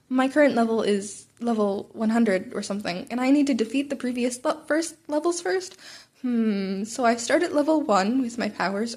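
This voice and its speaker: A woman's voice: on the high side, a little mellow, lightly acted. A woman voicing a nervous, geeky teenage boy hero student in a story, hesitant and rambling.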